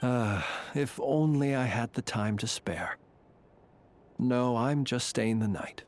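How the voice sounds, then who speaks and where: An actor playing a courteous, eloquent, formal and enigmatic gentleman grave keeper in a video game. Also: mid-range voice, slight background noise, close to an everyday voice. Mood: polite, reserved. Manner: polite, eloquent, measured formality. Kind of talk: theatrical video game voice acting.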